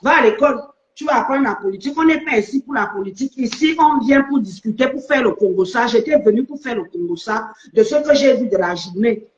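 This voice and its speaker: A woman's voice: a little high, expressive, quick pace, hardly any pauses. An older woman speaking as a guest in a heated live-stream debate, indignant and repeating herself insistently, on lo-fi phone audio. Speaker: panel guest.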